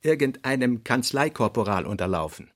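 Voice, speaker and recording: A man's voice: mid-range voice, animated, normal speed. A man reading scripted literary narration in a radio play.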